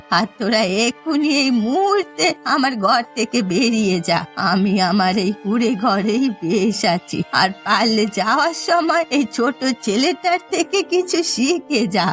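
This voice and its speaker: A woman's voice: on the high side, a bit bright. A woman voicing a poor old village mother in a cartoon story, speaking in an emotional, plaintive way. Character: poor elderly village mother, loving but indignant.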